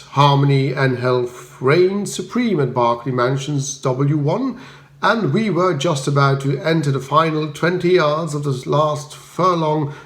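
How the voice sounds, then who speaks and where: A man with a fairly high voice narrates a comic audiobook. His delivery is measured and wry with a mock-grand air, recorded in an echoey room. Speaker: audiobook reader. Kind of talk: comic first-person scripted narration. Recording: roomy echo.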